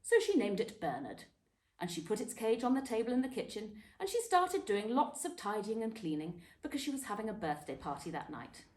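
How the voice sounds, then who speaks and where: A woman's voice: medium pitch, very animated, a little mellow. Echoey woman reading a children's story aloud. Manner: dramatic, with emphatic pauses and stressed words. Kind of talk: expressive children's book reading. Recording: echoey room.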